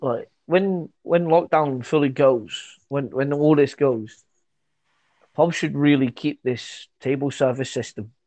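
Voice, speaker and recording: A young man co-hosting a podcast, talking in excitable, sweary banter with frequent quick restarts. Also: mid-range voice, dry recording. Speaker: podcast co-host.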